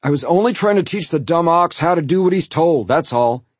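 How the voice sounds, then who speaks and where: Man doing a snarling, defiant teenage boy, pitched up. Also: expressive, brisk, breathy edge, no room sound. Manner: snarling, growling, yelling. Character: surly, defiant teenage brother, quick to snarl back.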